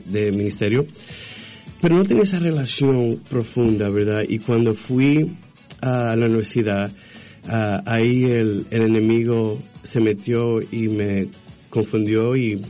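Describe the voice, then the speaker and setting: A man raised in Texas by a Dominican family, giving personal testimony in an interview, halting as he searches for words. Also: medium pitch, mellow. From born in Guatemala to Dominican parents, raised in Texas from age six. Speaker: interview guest.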